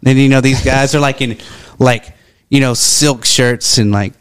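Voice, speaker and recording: A man's voice: mid-range voice, steady tone, measured pace, clear, resonant tone, dry recording. A young man co-hosting a casual podcast, telling stories in a loose, rambling way full of "like" fillers. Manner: rambling storyteller, lots of "like" fillers. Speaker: podcast co-host. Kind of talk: casual chat.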